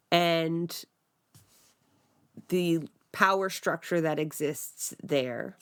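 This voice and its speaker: A woman with a lowish voice hosting an interview, asking questions in a loose, conversational way. She thinks aloud as she talks, with fillers like "like" and "right?". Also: a bit bright. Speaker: podcast host.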